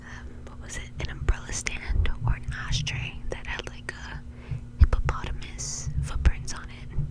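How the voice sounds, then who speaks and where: Whispering woman telling stories, ASMR style. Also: moderate pace. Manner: informal, conversational, hedging with "like". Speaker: ASMR video creator and host.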